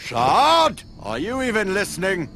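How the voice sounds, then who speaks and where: Haughty, scolding owl teacher, swooping pitch. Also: male voice, wildly swooping pitch, unhurried, creaky, background noise, exaggerated voice. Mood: scolding, indignant. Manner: disdainful, clipped, scolding. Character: stern, haughty male owl teacher, easily exasperated.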